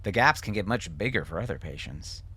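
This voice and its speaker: A man acts out a weary father in an audiobook. He explains things carefully and haltingly, trying to soothe. His pitch rises and falls a great deal. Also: lively intonation, sharp tone, breathy edge, exaggerated voice. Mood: careful, explaining. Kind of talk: audiobook character acting.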